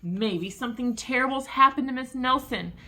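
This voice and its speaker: Woman playing high-pitched, excitable unruly schoolkids. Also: moderate pace. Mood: worried. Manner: exclaiming, eager.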